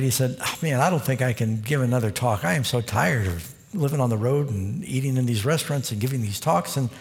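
A man's voice: medium pitch, darkish tone. A man delivering a sermon, quoting scripture and telling stories with acted-out dialogue in a folksy way. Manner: folksy storytelling, quotes scripture, acts out dialogue. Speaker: preacher. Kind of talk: sermon with storytelling. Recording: long hall reverb.

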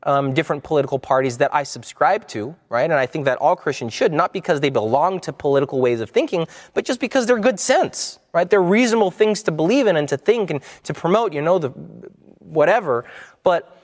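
A man's voice: on the high side, expressive, a little fast. A man teaching from the Bible in a conversational sermon style, often repeating words and restarting his sentences. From United States. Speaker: preacher. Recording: long hall reverb.

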